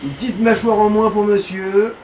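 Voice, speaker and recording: A man with a somewhat high voice comments casually on a game and advises the player. His talk is slangy and joking. The recording is noisy and he sounds a little distant from the mic. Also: fairly even intonation, moderate pace, a little mellow.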